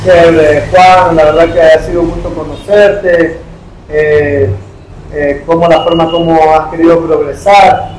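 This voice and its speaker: A man interviewing and gently questioning a guest, often repeating words as he goes. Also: fairly high pitch, flat delivery, a little slow, background noise. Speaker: interviewer giving money. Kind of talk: scripted charity interview. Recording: echoey room with music behind.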